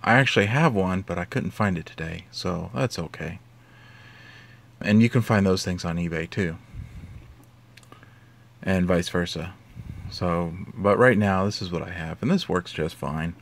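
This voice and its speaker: Low-voiced man giving a casual tutorial. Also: measured pace, gravelly, long pauses. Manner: informal, conversational explaining. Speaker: host of an audio tutorial.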